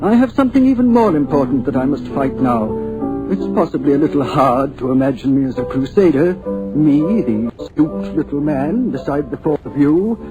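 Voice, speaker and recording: A man's voice: on the high side, lively intonation, moderate pace, over music, lightly acted. Man as theatrical ghost-hunter, dull lo-fi old radio sound. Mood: grandiose. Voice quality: theatrical, grandiose. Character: Harley, eccentric occult-hunting older man. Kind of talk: 1940s radio drama acting. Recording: muffled old radio broadcast.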